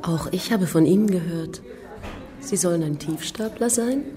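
An actress playing a forthright, flirtatious and discontented middle-aged academic's wife in a radio drama, wry and teasing. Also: female voice, on the low side, moderate pace, crowd noise, close to an everyday voice. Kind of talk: naturalistic radio drama acting.

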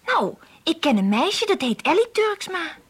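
An actress playing a spirited, matter-of-fact schoolgirl in a radio drama, chatting frankly in a breathy voice. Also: extremely high pitch, sing-song, a little slow, exaggerated voice. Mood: chatty. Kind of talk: conversational radio drama acting.

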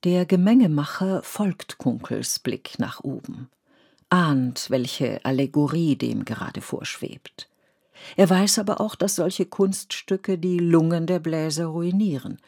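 Mid-pitched literary radio narrator. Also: expressive, vocal fry, darkish tone, husky. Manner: measured, poetic storytelling.

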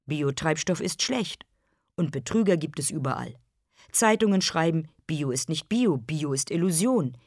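An actress playing a self-accusing, brooding consumer in a literary radio drama monologue, in clipped, declarative phrases and lists. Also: female voice, low-pitched, no echo, clean studio sound, full character voice.

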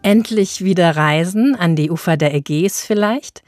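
A woman's voice: fairly low pitch, normal speed, a little mellow, dry recording, faint music behind. A woman reading a scripted introduction as a radio presenter.

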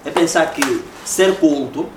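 A man's voice: on the high side, measured pace. Angolan man lecturing in echoey room. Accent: Angolan Portuguese. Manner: conversational, rhetorical tag questions. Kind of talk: public lecture. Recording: echoey room, distant mic, noisy.

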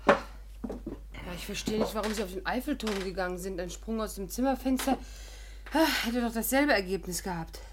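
A woman playing a wry, brisk storyteller recounting her own adventures in a 1950s German radio drama, with a dryly amused manner. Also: medium pitch, background noise, lightly acted.

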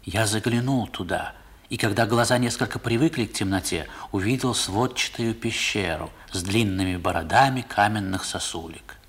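Wistful literary narrator, lowish husky voice, expressive measured storytelling, vintage radio recording. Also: male voice. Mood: wonder. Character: wistful male narrator recalling boyhood. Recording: old 1960s radio recording.

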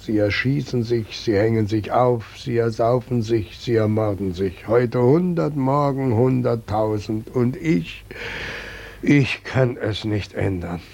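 An actor in a German radio play playing a helpless, forsaken old God, husky, frail and plaintive. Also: male voice, mid-range voice, takes it slowly, a little mellow. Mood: grieving, helpless. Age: older. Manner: plaintive, lamenting, repetitive. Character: weeping, helpless old God nobody believes in.

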